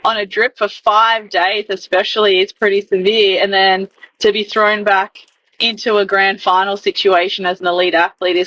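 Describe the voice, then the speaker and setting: A woman's voice: mid-range voice, fairly bright tone, dull audio. A woman co-hosting a sports podcast, asking long, elaborate questions in a talkative way. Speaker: podcast co-host. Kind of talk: interview questions.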